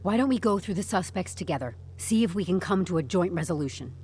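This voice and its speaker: Actress as deadpan, stubborn HR woman, animated pitch. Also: fast, slight background noise, close to an everyday voice. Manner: deadpan corporate politeness with wry candour. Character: composed, stubborn young HR newcomer turning interrogation into interview. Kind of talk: audio drama acting.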